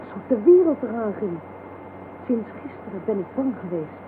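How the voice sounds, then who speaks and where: A woman's voice: a little high, big pitch swings, normal speed. A woman acting a frightened but defiant captive in a radio play, talking bluntly and informally. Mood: bewildered, wary. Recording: noisy, tinny old radio play recording.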